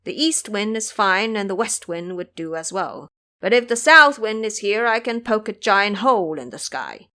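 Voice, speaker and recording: A woman's voice: mid-range voice, expressive, moderate pace, sharp tone. A woman voicing a boastful, foolish male pig monk with a brash, bragging manner in an audio drama. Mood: showing off.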